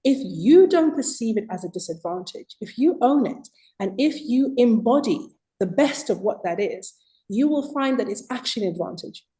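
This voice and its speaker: A woman's voice: fairly high pitch, lively intonation, normal speed, mellow, low-quality recording. A woman with a British accent, raised in Zimbabwe, telling her story as a guest in an interview, reflective and emphatic at key points. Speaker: guest author.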